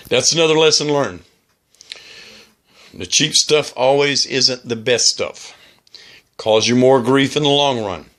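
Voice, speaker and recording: A man giving a casual hands-on demonstration as a radio hobbyist, talking in a halting, plain-spoken, cautionary way. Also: medium pitch, fairly bright tone. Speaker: amateur radio hobbyist presenting a how-to video.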